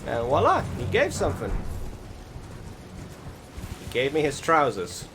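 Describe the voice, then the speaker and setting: A man giving casual, rambling voice-over commentary on recorded gameplay, often halting and restarting his sentences. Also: mid-range voice, wildly swooping pitch, background noise. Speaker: gaming video host. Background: music behind the voice.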